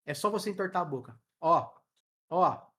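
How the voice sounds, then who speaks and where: A man's voice: on the high side, expressive, normal speed, bright, forceful tone. A Brazilian man teaching English in a casual live online class, chatty and joking with slang, speaking into a headset. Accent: Brazilian Portuguese.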